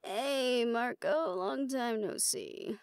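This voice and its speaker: A woman's voice: fairly high pitch, slow, clean tone, no room sound, clean studio sound. A woman playing a confident, teasing monster hunter in an audio roleplay, with a wry, coaxing manner. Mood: charming, coaxing. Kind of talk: intimate scripted audio drama acting.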